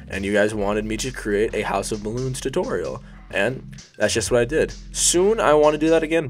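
A young man with a sniffly, allergy-stuffed voice, casually talking through a design tutorial as a voice-over, rambling, self-deprecating and swearing now and then. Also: fairly low pitch. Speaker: YouTube design tutorial host. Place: hot bedroom.

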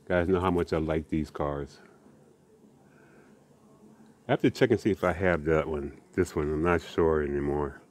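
Guy with a real low voice, laid back, rambling show-and-tell chat, lots of uhs. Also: moderate pace, long pauses. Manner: relaxed, rambling, with many uhs and restarts. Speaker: die-cast car collector vlogger.

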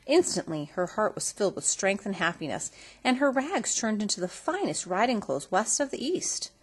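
A woman reading a children's story aloud in an expressive storytelling style. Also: medium pitch, normal speed, slightly rough. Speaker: storybook reader.

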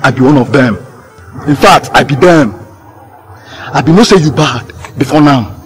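An actor playing a defiant, aggrieved gangster in a film drama, with a Nigerian accent and an insistent, confrontational manner. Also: male voice, medium pitch, lively intonation, normal speed, faint music behind, lightly acted. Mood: defiant, threatening. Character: defiant, aggrieved male gangster demanding his share.

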